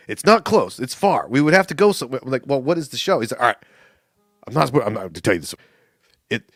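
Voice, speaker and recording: A man co-hosting a podcast in casual chat, telling animated stories, acting out quotes and laughing often. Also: on the high side, dry recording, clean studio sound. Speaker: podcast co-host.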